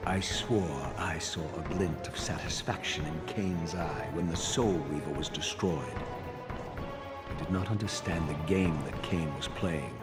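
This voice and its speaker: A brooding undead anti-hero narrates a dark video game tale. The voice is very deep and solemn, with theatrical, measured phrasing. Music plays clearly underneath. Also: male voice.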